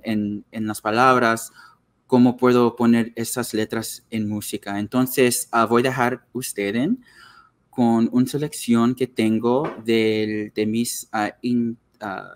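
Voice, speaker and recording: A young man, a musician whose first language is English, talks to his webcam from a small room at home. He speaks slowly and haltingly, filling pauses with ums and ahs. His voice sits at an ordinary middle pitch. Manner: hesitant, many fillers, halting and slow. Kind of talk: casual interview to camera.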